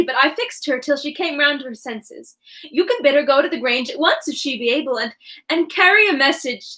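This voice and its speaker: A woman's voice: high voice, sharp tone, poor audio. A woman voicing a cruel, scheming master of the house who speaks coldly and smugly.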